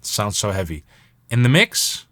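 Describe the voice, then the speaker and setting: A man's voice: fairly low pitch, very animated, unhurried. A man talking casually as an instructor, explaining music production steps while he demonstrates them.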